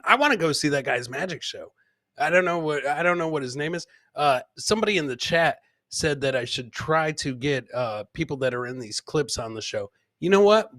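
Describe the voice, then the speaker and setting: A man's voice: fairly high pitch, fairly bright tone, no echo, clean studio sound. A man hosting a casual live comedy show, chatting and reacting to clips, repeating himself and swearing freely. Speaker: podcast host.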